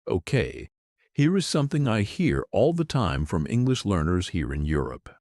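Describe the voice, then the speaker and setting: A man with a very low voice teaches an English lesson. His pitch rises and falls freely as he explains each point patiently and clearly, and the sound is close and dry with no room echo. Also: big pitch swings, moderate pace, darkish tone. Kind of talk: scripted lesson to the camera. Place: in Europe.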